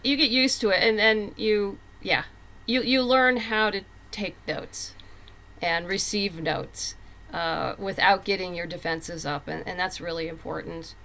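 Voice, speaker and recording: A woman's voice: medium pitch, steady tone, measured pace, pushed and bright. A woman speaking as a writer guest in a casual interview, candid, emphatic and plain-spoken.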